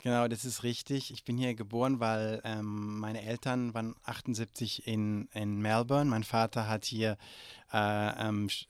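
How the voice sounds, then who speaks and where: A man's voice: mid-range voice, a little mellow, clean tone, dry recording, clean studio sound. A man in his forties from Germany, answering interview questions hesitantly with many fillers and restarts. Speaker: interview guest.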